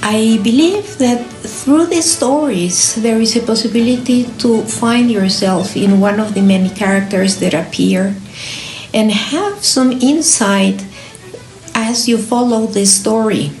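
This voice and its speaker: Measured woman author, creaky voice, roomy echo. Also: on the high side, a little mellow. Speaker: author presenting own book. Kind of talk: reflective book presentation with short readings. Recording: roomy echo, music behind.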